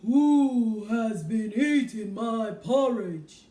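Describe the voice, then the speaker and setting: A woman voicing a big father bear in a storybook, booming and slow. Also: expressive, dark tone. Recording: roomy, from a distance, faint noise.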